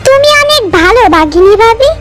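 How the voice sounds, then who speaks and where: A woman's voice: very high voice, animated, brisk, over loud music. A woman playing a caring, easily worried mother fox in a cartoon, earnest and motherly.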